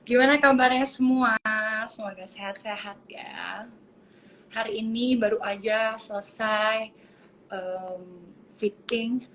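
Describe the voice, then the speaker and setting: Indonesian-accented woman, twenties, bubbly live-stream host, lightly raised pitch. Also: fairly even intonation, unhurried, fairly bright tone, a little hiss or hum, poor audio. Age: 20s. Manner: giggly, chatty, switches between languages. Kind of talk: casual video call chat.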